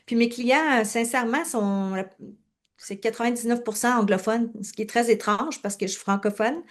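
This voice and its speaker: A woman's voice: on the high side, normal speed. A woman from Quebec with a Quebec French accent, speaking casually as a coach being interviewed. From Quebec, Canada.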